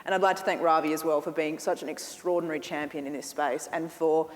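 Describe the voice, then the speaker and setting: A woman's voice: sits low, fairly even intonation, fast talker. A woman on a panel opening her remarks with gracious, formal thanks. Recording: echoey hall.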